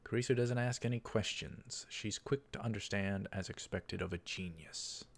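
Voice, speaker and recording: Low, creaky-voiced man narrating a game. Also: normal speed. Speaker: let's play host. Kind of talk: reading visual novel text aloud with casual commentary.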